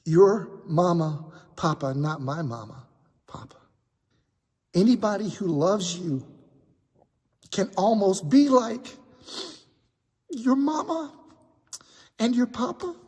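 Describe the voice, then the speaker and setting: A man's voice: sits high, animated, slow pace, slightly rough, pitched above the performer's own voice, full character voice. A man doing a grieving immigrant boy. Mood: tender. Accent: broken English of a new immigrant. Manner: pleading, emphatic. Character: young immigrant boy, grieving, fiercely protective. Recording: long hall reverb.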